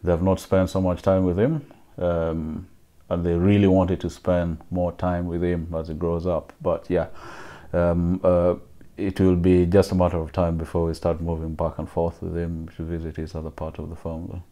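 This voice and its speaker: A man in his thirties with a Ugandan accent, speaking softly and reflectively in an interview from his living room. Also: low-pitched, monotone, normal speed. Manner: soft-spoken, reflective, with fillers. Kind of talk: personal interview answers.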